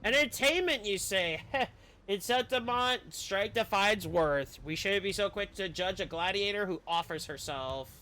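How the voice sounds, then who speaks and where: A man's voice: high-pitched, measured pace, sharp tone, a little hiss or hum. A man voicing a haughty, wealthy old noble in a video game story, condescending and theatrical. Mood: amused, lofty.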